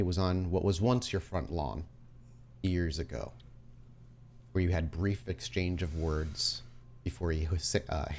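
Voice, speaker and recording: A man running a tabletop roleplaying game as game master, telling the story in a dramatic, deliberate way with pauses. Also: low-pitched, darkish tone, a little hiss or hum.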